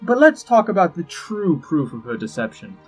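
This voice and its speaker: An actor playing an urbane, wry, scheming ambassador in a fantasy audio drama, droll, precise and pompous, with a husky voice. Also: male voice, high voice, wildly swooping pitch, normal speed, low-quality recording. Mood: wry, indignant. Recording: music behind the voice.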